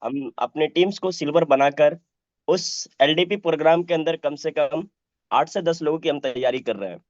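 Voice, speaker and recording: A man from Bihar, hoarse from a cold, giving a polite, upbeat update to his team on a call. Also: fairly high pitch, normal speed, dry recording. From Bhagalpur, Bihar, India. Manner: polite, upbeat status report. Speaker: team leader. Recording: dry, dull call audio.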